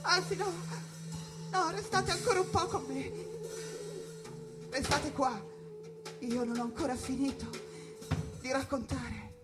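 An actor playing a tormented, restless jazz musician in a theatrical, impassioned radio monologue. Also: male voice, extremely high pitch. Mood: subdued pleading. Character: tormented, drug-worn male jazz musician, restless and defiant.

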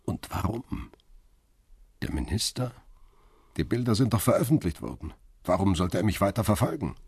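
A man's voice: on the low side, sing-song, moderate pace, gravelly, darkish tone, slightly rough, no room sound, studio quality. A man narrating a crime radio play in the first person and playing its brooding lead.

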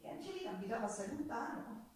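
The voice rings through an echoey theatre, picked up from a distance. It is a spirited woman, high in pitch, telling of her amorous youth in an expressive, colloquial stage monologue. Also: slight background noise. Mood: conspiratorial, longing. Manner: expressive, colloquial, dramatic pauses and exclamations. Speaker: stage actress and singer in a solo show. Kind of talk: theatrical storytelling monologue with folk singing. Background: live acoustic guitar.

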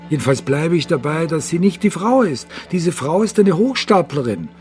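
A man's voice: on the high side, big pitch swings, normal speed, darkish tone, faint music behind. Terse, stubborn searching father. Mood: firm, defiant. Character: stubborn, grim father searching for his abducted child. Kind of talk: German radio crime drama acting.

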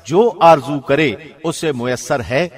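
Man, slightly high voice, formal radio-style reading, measured scripture translation. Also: slightly rough. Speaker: translation reader, radio host.

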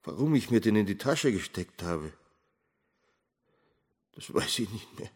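Self-justifying Bavarian murder suspect confessing. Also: male voice, lowish voice, very animated, a little slow, a little mellow, breathy edge, exaggerated voice. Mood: subdued, haunted. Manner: colloquial, defensive, self-justifying. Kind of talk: German radio play confession monologue.

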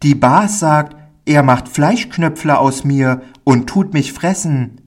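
A man voicing a timid, high-pitched little village boy. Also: takes it slowly. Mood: fearful, naive. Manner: anxious, naive, dialect-tinged childlike speech. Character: timid, wide-eyed little village boy with childlike eagerness.

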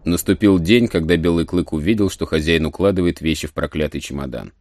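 A man's voice: low voice, brisk, darkish tone, no echo, clean studio sound. A man narrating a story as a scripted dramatic audiobook. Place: studio.

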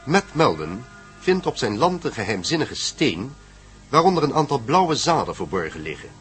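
A man's voice: mid-range voice, sing-song, moderate pace, a bit bright. A man reading scripted narration that introduces a radio drama. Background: music.

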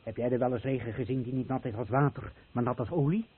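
An actor playing a troubled, earnest fleeing villager in a radio drama, uneasy and questioning. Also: male voice, mid-range voice, fast, lightly acted. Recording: old radio play, tinny, faint noise.

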